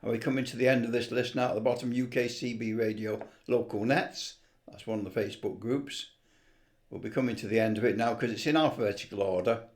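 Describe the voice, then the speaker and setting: A man's voice: medium pitch, steady tone, fast talker, darkish tone. Man casually narrating a homemade tutorial. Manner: unscripted, rambling, talks to himself while working. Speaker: amateur video presenter, CB radio hobbyist.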